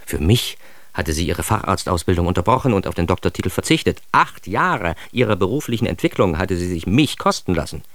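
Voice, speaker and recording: A man's voice: deep voice, expressive, a little fast. An actor playing an ironic, self-important man who narrates his childhood in a radio drama, with wry, mock-grandiose emphasis. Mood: mock-proud. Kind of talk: scripted first-person radio drama narration.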